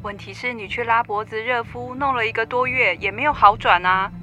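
An actress playing a worried, caring wife in a radio drama. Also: female voice, a little high, steady tone, a little fast, sharp tone, highs cut off, soft background music.

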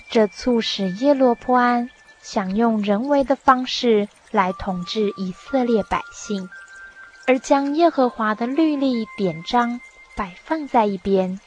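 A woman narrating a radio drama in a measured, scripted storytelling style. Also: fairly high pitch. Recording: music behind the voice.

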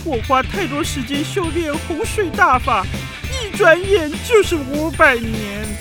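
Casting: lonely villainous frog prince, very high, lamenting and hesitant, Taiwanese Mandarin, for children's radio drama. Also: female voice, expressive, measured pace, over loud music. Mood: bewildered, reflective. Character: lonely frog prince, self-doubting former flood villain.

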